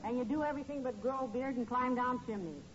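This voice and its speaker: A woman's voice: a little high, fast talker, lightly acted. A woman with an American accent playing a patient, wry middle-aged wife with a dry teasing manner in a 1940s radio comedy. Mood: patient, chiding. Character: patient, wry wife who gently needles her husband. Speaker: comedy co-lead actor. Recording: dull, hissy old-time radio recording.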